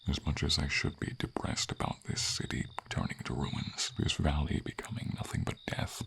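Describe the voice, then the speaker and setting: A man voicing an old, friendly silver dragon in an ASMR fantasy roleplay, solemn and tender, with a breathy rasp that often drops to a whisper. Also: rumbling low, lively intonation, moderate pace, fairly bright tone, slight background noise, full character voice. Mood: regretful. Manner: solemn, tender, formal storybook diction.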